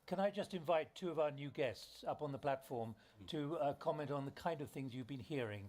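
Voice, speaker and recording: A man moderating a panel discussion, steering it with brisk, probing questions. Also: a little high, a little mellow.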